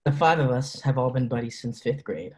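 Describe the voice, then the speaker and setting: A man's voice: medium pitch, a little fast, darkish tone, lightly acted, poor audio. A man reading a teenage boy who speaks wryly to the camera in a script table reading. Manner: conversational, wry, musing. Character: teenage boy, loyal friend, talks to the camera. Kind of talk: table-read script acting, direct-to-camera asides.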